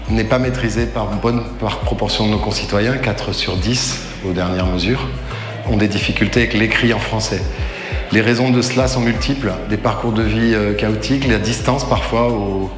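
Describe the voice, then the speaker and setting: A man giving prepared, formal explanations as an official, in a measured way with hesitant pauses. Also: lowish voice, steady tone. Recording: echoey reverberant sound with music bed.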